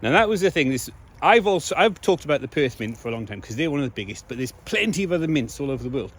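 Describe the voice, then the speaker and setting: A slightly hoarse man speaking in a casual, emphatic monologue to viewers, repeating key phrases for stress. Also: fairly high pitch, very animated, quick pace. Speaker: video blogger.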